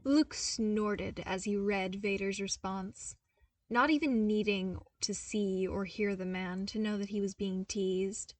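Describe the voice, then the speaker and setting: A woman's voice: medium pitch, steady tone, slow pace, a little mellow, recorded from a distance. A woman reading scripted fan fiction as an audiobook narrator. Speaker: podfic narrator.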